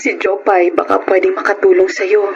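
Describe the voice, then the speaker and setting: A woman's voice: mid-range voice, flat delivery, normal speed, gravelly, muffled recording, lightly acted. A woman with a Filipino accent playing an ailing, grateful mother in a radio drama. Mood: grateful, worried. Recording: noisy radio drama recording.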